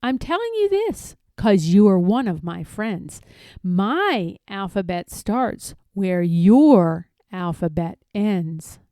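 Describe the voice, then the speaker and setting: A woman's voice: mid-range voice, big pitch swings, slow, mellow, no echo, studio quality. A woman reading a rhyming picture book aloud expressively, drawing out key words for emphasis. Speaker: read-aloud storyteller.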